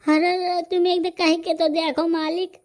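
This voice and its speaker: A man voicing an excitable, gossipy male villager in a rustic comedy cartoon, with a Bundelkhandi accent and artificially raised pitch. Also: a little slow. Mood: pleading, flattering.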